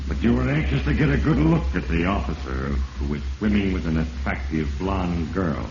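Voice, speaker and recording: An actor playing Fate, a solemn, ominous spirit who narrates a sinner's downfall with grave, deliberate, accusing address in 1940s radio mystery style. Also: male voice, low voice, darkish tone. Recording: muffled echoing vintage radio recording, hissy.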